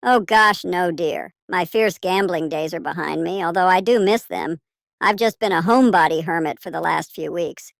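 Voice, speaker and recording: Woman playing a creaky, sweet older lady. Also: on the low side, normal speed, no echo. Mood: chatty, wistful. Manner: affectionate, chatty, teasing. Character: sweet, playful older woman, doting neighbour. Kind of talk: scripted audio story character.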